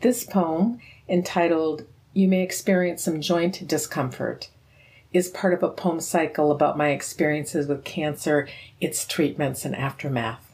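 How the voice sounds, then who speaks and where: A woman poet from Minnesota, calmly and earnestly introducing and reading her poem. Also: deep voice, a little slow.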